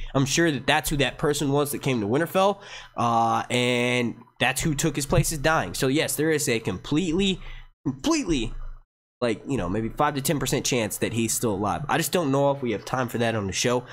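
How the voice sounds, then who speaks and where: A man hosting a casual live Q&A stream, talking excitably and swearing freely into a gated streaming mic. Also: medium pitch. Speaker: livestream host. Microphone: streaming mic with noise gate.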